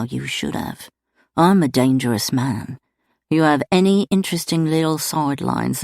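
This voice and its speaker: Female narrator plays a thick-voiced London thug in an audiobook, plummy and menacing, low and dry. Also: vocal fry, a little mellow, no echo. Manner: musing, then impatient and threatening. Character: affable menacing male London thug, vain.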